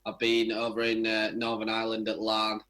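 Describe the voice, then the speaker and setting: A man's voice: lowish voice, monotone, a little fast, a bit bright, slight background noise. A young man, a footballer, giving casual answers in a radio interview. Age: young adult.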